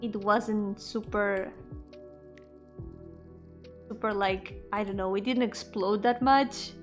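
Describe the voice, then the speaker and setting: A woman's voice: mid-range voice, steady tone, slow pace, soft background music. A woman chatting casually on a livestream, effusive and giggly, often repeating words and trailing off. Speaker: VTuber streamer and indie game developer. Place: indoor solo livestream with music behind the voice.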